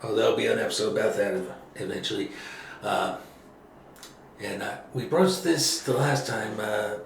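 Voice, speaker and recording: Gravelly-voiced man in an echoey room. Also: on the low side, normal speed. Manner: relaxed, agreeable, lots of filler and backchannel. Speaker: podcast host. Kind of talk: casual political conversation. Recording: echoey room with faint noise.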